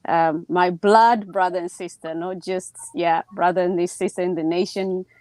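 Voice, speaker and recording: A woman's voice: fairly low pitch, steady tone, normal speed, a little mellow, no room sound. A woman in her forties from Tanzania, a native Swahili speaker, telling her own story casually on a video call. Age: 40s. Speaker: guest speaker, teacher.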